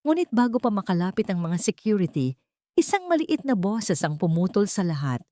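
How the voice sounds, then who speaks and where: A woman's voice: big pitch swings, fairly quick, warm tone, no room sound. A woman with a Filipino accent narrating a dramatic audio story in the first person, lifting her voice for the characters' lines. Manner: rises in pitch and volume for quoted dialogue.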